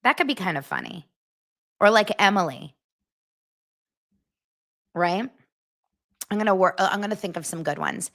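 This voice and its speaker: A woman's voice: lowish voice, sing-song, normal speed, creaky, long pauses, fairly bright tone. An American woman hosting a casual pop-culture podcast, chatty and giggly, often laughing at herself. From United States. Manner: chatty, giggly, self-mocking. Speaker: podcast host.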